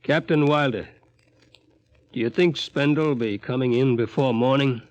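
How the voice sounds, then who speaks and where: A man's voice: mid-range voice, a little slow, a little mellow, natural voice. Uneasy, deferential young-ranking crewman played by a man. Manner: deferential, clipped. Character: uneasy subordinate crewman, dutiful but worried. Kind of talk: 1950s radio drama acting. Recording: old radio recording, faint noise.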